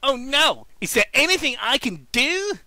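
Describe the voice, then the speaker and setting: A man voicing an eager, impatient young hedgehog hero from a cartoon, in an excitable, exclamatory way. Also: extremely high pitch, moderate pace, no room sound, pitched above the performer's own voice, full character voice. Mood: worried.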